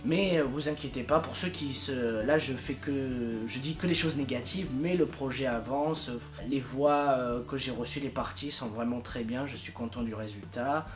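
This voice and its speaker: A man giving an informal, unscripted video update to his followers, rambling and halting as he goes. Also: mid-range voice, over music. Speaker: amateur fandub project leader and YouTuber.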